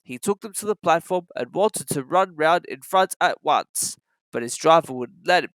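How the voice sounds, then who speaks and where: A narrator reading a children's story aloud in an expressive, scripted style. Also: mid-range voice, normal speed, no room sound, poor audio.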